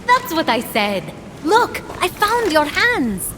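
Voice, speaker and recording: A woman's voice: very high voice, big pitch swings, slow pace, noisy background. A woman voicing a wry, eager game hero. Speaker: game protagonist. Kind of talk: video game dialogue. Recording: game audio with music underneath.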